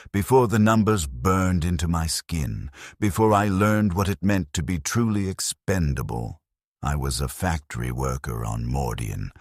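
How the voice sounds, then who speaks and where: Man voicing a very deep, grim condemned convict. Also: slightly rough, no echo, clean studio sound, exaggerated voice. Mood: grim, bitter. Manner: solemn, deliberate, bleak. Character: grim, embittered condemned convict soldier recounting his ruin. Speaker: storyteller. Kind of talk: scripted dramatic narration.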